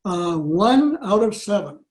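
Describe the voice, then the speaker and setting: An elderly man giving an informal introduction to a program on a video call, in a halting, deliberate way. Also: high-pitched, sing-song, a little mellow. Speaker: club presenter introducing an old radio show. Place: small room at home. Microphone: computer video call.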